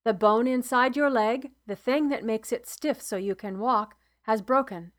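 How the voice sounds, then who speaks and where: A calm, gentle male healer who speaks in a measured, instructive way, as a woman reading a story puts him on. His voice is fairly high and stays steady and patient, close to the reader's own. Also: close to an everyday voice. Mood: stern.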